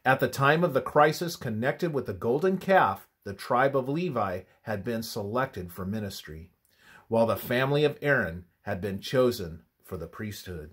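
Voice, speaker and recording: A man reading a scripted religious study in a measured, instructive manner, his phrases often trailing off softly at the ends. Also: mid-range voice, animated, husky.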